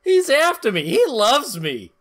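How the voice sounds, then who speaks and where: A man's voice: extremely high pitch, wildly swooping pitch, measured pace, fairly bright tone. A middle-aged man co-hosting a podcast, moving between casual chat and dramatic reading. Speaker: podcast co-host. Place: indoor room, video call.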